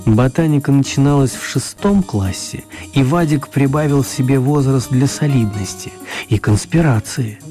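A man narrating a children's radio play as an expressive, mock-serious storyteller. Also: mid-range voice, normal speed, faint music behind.

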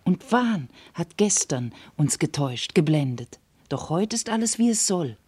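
A woman's voice: fairly low pitch, big pitch swings, measured pace, a little mellow, slightly rough, dry recording, heavily acted. An actress playing a composed female confidante, speaking classical verse in a radio drama. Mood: reassuring. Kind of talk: classical German stage verse in a radio play.

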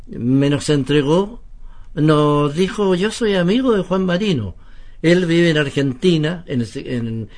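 A man's voice: a little high, darkish tone. A man with a Chilean accent hosting radio, talking conversationally and courteously. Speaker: radio host and interviewer. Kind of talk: conversational anecdote and interviewing.

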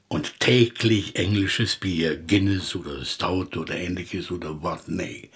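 A man's voice: low voice, a bit bright, slightly rough, heavily acted. An actor in a radio play playing a melancholy, self-pitying old literary man who declaims, rambles and corrects himself. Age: older. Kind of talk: German radio play acting.

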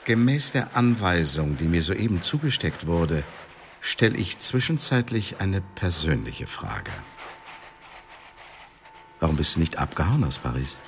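A commanding, officious secret service chief in a radio play. His lowish voice moves with lively, sardonic swings. It rings in a reverberant hall with noise behind it. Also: unhurried, heavily acted. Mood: probing. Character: cold, mocking male secret service tribunal chief. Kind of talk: German radio drama acting. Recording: echoey hall, clear background noise.

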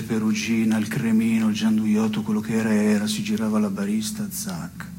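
An actor playing a wry, self-satisfied petty-theft philosopher in absurdist theatre, with a deliberate, mock-solemn delivery. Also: male voice, fairly low pitch, background noise, close to an everyday voice. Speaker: stage actor. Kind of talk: scripted absurdist theatre dialogue.

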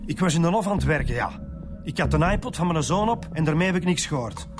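A man acting an indignant Flemish suspect in a radio play, blunt and defensive, with a slightly high male voice. Music plays clearly behind him. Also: expressive, fast talker, close to an everyday voice.

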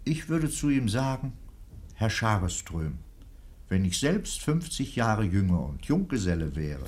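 A man's voice: fairly low pitch, sing-song, measured pace, a little mellow. A man playing a kindly, indulgent old provost in a literary radio drama, affectionate and a little hesitant. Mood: fond, playful. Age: older.

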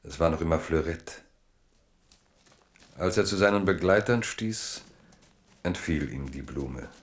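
A man narrating a 1970s literary radio play in a measured, lyrical manner. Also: deep voice.

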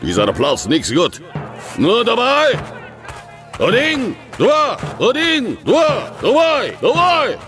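An actor playing a brutal, gloating warlord in a radio drama, barking orders in broken German with a Russian accent. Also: male voice, very high voice, wildly swooping pitch, takes it slowly, music in the background, heavily acted. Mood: barking, commanding. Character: brutal, gloating foreign warlord of wasteland nomads.

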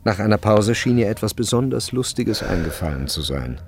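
A man narrating a literary radio drama in a measured, sober manner. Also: lowish voice, very animated, slight background noise.

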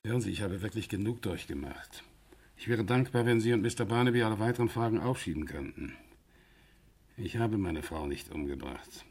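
An actor playing an indignant bank director under suspicion in a 1960s German radio play, terse and insistent, with a husky voice. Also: male voice, fairly low pitch, fairly quick, darkish tone. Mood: weary, defensive.

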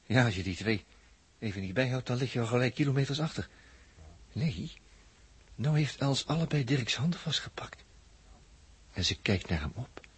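A man with a Netherlands Dutch accent acting a shrewd, confident captive spaceman in a 1960s radio drama, speaking deliberately and with emphasis. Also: mid-range voice, expressive, breathy edge. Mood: amused wonder. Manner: deliberate, emphatic, with repeated phrases. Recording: vintage 1960s radio recording.

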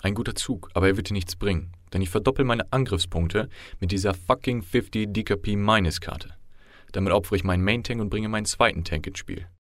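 A man's voice: on the low side, fairly quick, dry recording, studio quality, lightly acted. An actor playing an overwrought, theatrical male card-game finalist. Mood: dramatic.

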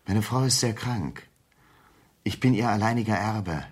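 A cornered businessman in a radio crime play, defensive and stiff. The voice is low and husky, and it moves up and down a lot as he protests. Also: lively intonation, unhurried. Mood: desperate protest. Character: cornered, defensive businessman in shady drug trade. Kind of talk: 1970s German radio crime drama acting.

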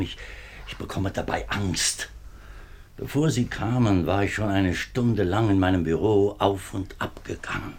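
A man's voice: low-pitched, breathy edge, exaggerated voice. A man playing a nervous, worn-down middle-aged minister in a 1950s German radio drama, speaking formally but hesitantly. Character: nervous, worn-down government minister, husband and father.

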